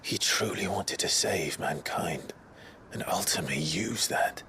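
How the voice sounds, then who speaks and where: An actor playing a grim, weary, protective warrior in a video game, grave, measured and hushed at times. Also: male voice, sits low, whispered, exaggerated voice. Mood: somber. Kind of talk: dramatic video game acting. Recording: loud dramatic music behind.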